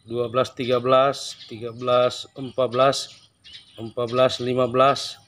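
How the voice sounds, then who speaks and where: A man's voice: mid-range voice, flat delivery, moderate pace, a bit bright, slight background noise. A man outdoors reading a scale aloud for a friend, calling out numbers steadily, away from the camera. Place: outdoors by a school building.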